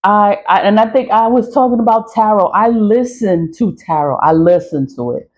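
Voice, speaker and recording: A woman's voice: mid-range voice, normal speed, darkish tone. A woman in her mid-forties ranting casually to her followers, blunt and profane, laughing often between points. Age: 40s. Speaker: social media creator. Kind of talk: casual vlog rant.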